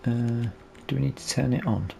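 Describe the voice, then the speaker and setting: A man's voice: mid-range voice, moderate pace, creaky, warm tone, a little hiss or hum. A man giving casual let's play commentary, muttering to himself and trailing off as he plays. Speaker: gaming video host. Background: vehicle engine sounds from farming game.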